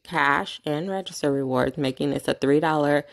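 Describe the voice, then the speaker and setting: Low, gravelly-voiced woman rattling off prices. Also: moderate pace. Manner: chatty, rattles off prices and totals. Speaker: couponing vlogger. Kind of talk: casual shopping haul walkthrough.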